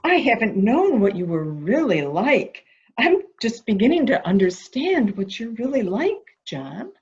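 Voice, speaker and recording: A woman's voice: a little high, unhurried. A woman playing a coaxing, anxious character who humors a madman in a theatrical murder mystery drama, recorded with muffled sound. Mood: coaxing, wary. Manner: soft questioning, flattering, theatrical. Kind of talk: amateur murder mystery audio drama acting.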